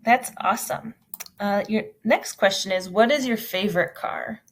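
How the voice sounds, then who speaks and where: A woman's voice: medium pitch, normal speed. A woman hosting a virtual event, reading interview questions and responding with upbeat encouragement. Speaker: event host. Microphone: video call.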